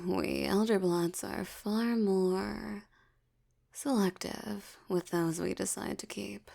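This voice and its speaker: Woman acting a slow, creaky, seductive vampire elder. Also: medium pitch, darkish tone, full character voice. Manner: slow, teasing, menacing, with lingering pauses. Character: dominant, seductive aristocratic female vampire elder. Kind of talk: intimate scripted audio roleplay.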